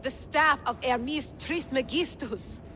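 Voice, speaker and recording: A woman playing a driven, excitable modern-day artifact hunter in a video game, urgent and intense. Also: sits high, slow pace, soft background music, full character voice. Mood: excited. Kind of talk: scripted video game acting.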